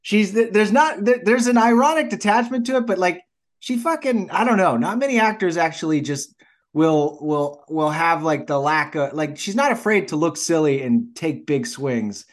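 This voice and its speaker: A man co-hosting a casual film podcast, talking in an enthusiastic, halting, rambling way with some swearing. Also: high-pitched. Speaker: podcast co-host. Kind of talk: casual chat about films.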